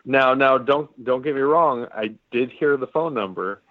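A man's voice: mid-range voice, moderate pace, dry recording. A man co-hosting a podcast in rowdy, teasing casual chat. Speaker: podcast co-host. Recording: dull, lo-fi sound.

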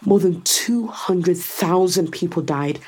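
A woman's voice: fairly low pitch, slow, darkish tone, slightly rough. A woman playing a powerful, calculating executive in a science fiction audio drama, sardonic and controlled as she persuades and explains. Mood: confiding, explaining.

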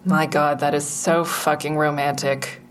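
Sardonic woman, low pitch, guarded and agitated, first-person audio drama, faint rumbly noise. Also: level tone, measured pace. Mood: agitated, sarcastic. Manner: sardonic, exasperated. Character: guarded, agitated woman narrating her police questioning, sardonic. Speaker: narrator and lead character.

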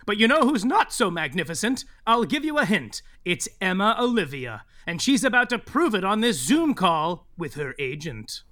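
High-pitched, animated male narrator. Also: moderate pace, few pauses. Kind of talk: playful scripted narration.

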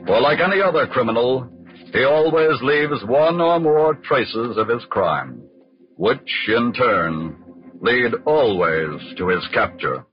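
An actor as the authoritative narrator of a 1940s radio crime drama, speaking in formal, emphatic, deliberate phrases. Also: male voice, medium pitch, expressive. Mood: grave, emphatic. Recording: dull old radio recording, music behind.

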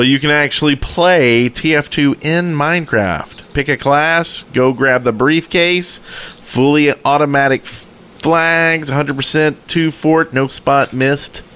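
A man's voice: fairly high pitch, pushed and bright, clean tone. A man chatting casually as co-host of a group podcast.

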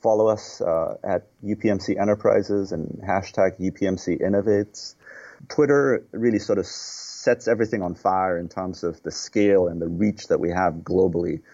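Nepal-born guy, low dark husky voice, lots of uh and restarts, kinda muffled lo-fi sound. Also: steady tone, moderate pace. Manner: earnest, repeats words and fills with "uh". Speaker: guest, healthcare executive. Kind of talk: podcast interview answers.

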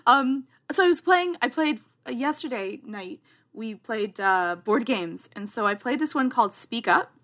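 A Canadian woman, a therapist, co-hosting a casual chat podcast, bubbly and quick to laugh. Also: fairly high pitch, expressive, fairly bright tone.

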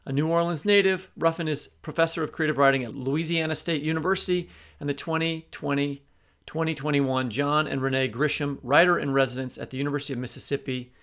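A man with a slightly high voice hosts an interview podcast. He talks in a discursive way, often reworking his phrasing as he goes. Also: steady tone, quick pace, a bit bright. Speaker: podcast co-host. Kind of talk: introduction and interview questions.

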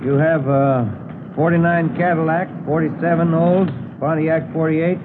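An actor playing a polite, plain-spoken, businesslike police detective in a 1950s radio drama. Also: male voice, fairly high pitch, fairly even intonation, a little slow, lightly acted. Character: polite, businesslike male auto theft detective. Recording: noisy, phone-like vintage radio broadcast.

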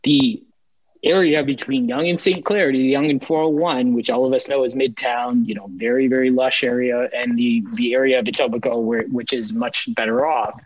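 Brief: husky male panelist, builds his case step by step, dry lo-fi call audio. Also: medium pitch, fairly even intonation, normal speed, dry recording. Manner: explanatory, builds to a point with examples. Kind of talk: panel discussion.

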